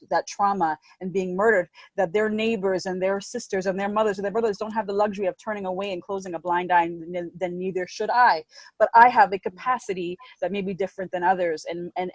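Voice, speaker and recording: A woman's voice: medium pitch, no echo, rough recording. A woman who works as an advocate and speaker, talking on a panel in an earnest, reflective way and often repeating herself. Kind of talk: panel discussion.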